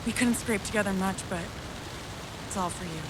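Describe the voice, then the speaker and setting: An actress playing an earnest, hesitant villager seeking help in a video game, speaking politely. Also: female voice, mid-range voice, a little fast, close to an everyday voice. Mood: awed, grateful. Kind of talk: video game cutscene acting. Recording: clear rain noise and hiss behind.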